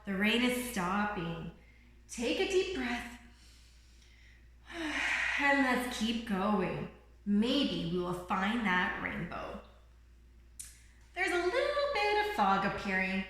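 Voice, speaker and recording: A woman's voice: medium pitch, sing-song, takes it slowly, long pauses, fairly bright tone, full character voice. A woman voicing a cheerful, encouraging little bird guide in a children's picture book, with a bubbly, reassuring manner. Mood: soothing, encouraging. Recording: roomy, echoing room sound.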